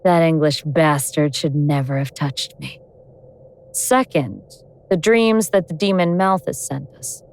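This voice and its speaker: Old god in a woman's body, lowish voice, sardonic, explains everything deliberately, audio drama. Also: low-quality recording. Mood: wry, scornful. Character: awakened ancient vengeance goddess in a human woman, wry, scornful.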